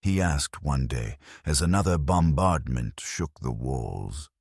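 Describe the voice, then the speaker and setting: A man narrating a scripted dramatic science fiction story in a somber, resigned manner, with a gravelly, husky voice. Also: rumbling low, expressive, slow pace, a little mellow, dry recording, studio quality.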